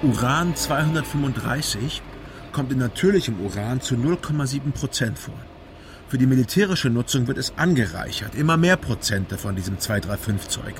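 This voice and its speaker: A man's voice: medium pitch, lively intonation, moderate pace, natural voice. An actor playing a terse male investigator in a radio crime drama, speaking in a dry, precise, understated manner. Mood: explanatory. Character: terse, factual male investigator on a car trip. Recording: clear car and road noise. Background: car, outdoor vehicle noise.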